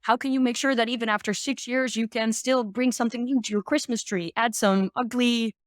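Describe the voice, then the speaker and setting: A woman's voice: fairly high pitch, steady tone, a little fast, no echo, studio quality. A woman explaining sustainability as an expert guest in a casual, upbeat discussion, thinking aloud as she goes.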